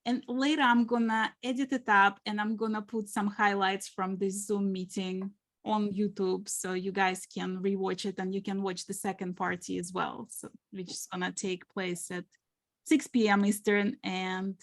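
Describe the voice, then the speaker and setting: A woman co-hosts a casual online party in a friendly, organizing way. She laughs often and keeps the conversation moving. Her voice has a middling pitch. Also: flat delivery, moderate pace. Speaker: co-host. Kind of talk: casual video call chat. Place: home, on a video call. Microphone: video call mic.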